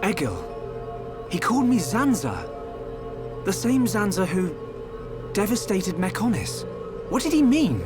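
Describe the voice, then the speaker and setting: Troubled young hero, British accent, higher-set voice, gentle, earnest, video game cutscene, background music. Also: male voice, big pitch swings, a little slow, pauses often.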